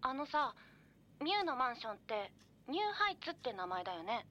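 Dull phone-filtered, high-voiced worried young woman. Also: very animated, fairly quick, pushed and bright, exaggerated voice. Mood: hesitant, uneasy. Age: young adult. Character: young woman, caring, worried, hesitant best friend. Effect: telephone voice filter. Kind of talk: Japanese horror radio drama acting. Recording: band-limited, phone-call sound.

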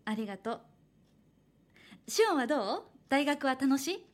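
A woman's voice: high-pitched, very animated, moderate pace. An actress playing a cheerful young woman, an aspiring manga artist who reassures others though a little uneasy, in a Japanese horror radio drama. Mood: warm, friendly. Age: young adult. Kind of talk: Japanese horror radio drama acting with first-person narration.

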